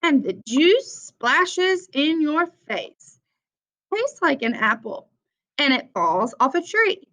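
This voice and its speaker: A young adult woman reading a children's picture book aloud with simple, deliberate phrasing for young listeners. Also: high voice, big pitch swings, fairly bright tone. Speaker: read-aloud presenter for children. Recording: dull, lo-fi sound.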